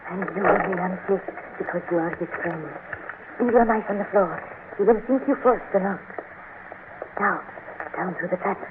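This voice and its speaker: A mysterious young woman of vintage radio drama, played by an actress, secretive and commanding. She gives short, hushed, urgent orders through a tinny broadcast with clear background noise. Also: medium pitch, normal speed, pauses often, natural voice. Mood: hushed urgency. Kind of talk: 1930s-40s radio serial acting.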